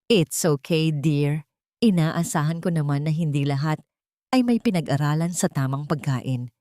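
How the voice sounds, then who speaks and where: A woman playing a haughty older rich matriarch in a story, soft-spoken, condescending and falsely sweet. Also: low-pitched, normal speed, no echo, clean studio sound, lightly acted. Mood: veiled scorn.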